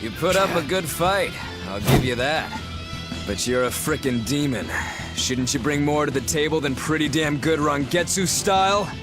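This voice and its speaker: A male actor plays a cocky master swordsman in a video game, fairly high and animated. He taunts with brash swagger over loud music. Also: moderate pace, close to an everyday voice. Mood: taunting. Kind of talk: English video game voice acting.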